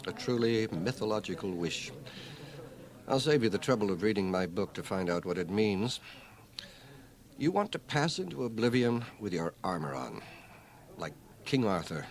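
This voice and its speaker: An actor playing a dry, erudite older scholar in a radio drama, measured, precise and stoical. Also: male voice, lowish voice. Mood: dry, cutting. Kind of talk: radio drama acting and first-person narration. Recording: 1980 radio drama, home cassette transfer.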